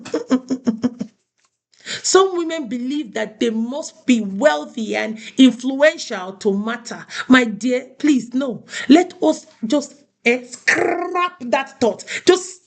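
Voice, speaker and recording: Woman from Nigeria, high voice, motivational talk, preaching, repeats phrases for emphasis. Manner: asks listeners "do you understand?". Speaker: host of a women's motivational show.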